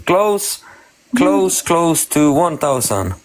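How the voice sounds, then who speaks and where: A man from Norway, a guest on a live stream, speaking in a hesitant, halting way. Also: fairly high pitch, very animated.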